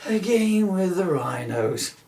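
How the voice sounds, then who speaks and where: Man voicing a lively, gentle storybook unicorn. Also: high-pitched, slow, creaky, distant mic, slight background noise, voice pushed up, full character voice. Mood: proud, amused. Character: gentle, jokey male magic unicorn. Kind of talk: children's storybook character reading.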